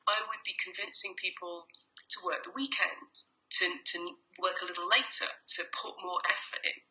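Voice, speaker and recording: Chatty English-accented woman, bright voice. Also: on the low side, fairly even intonation, moderate pace, low-quality recording. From Ireland. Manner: chatty, self-deprecating, many restarts. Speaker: guest, life coach. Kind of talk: casual interview chat. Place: home in a noisy city.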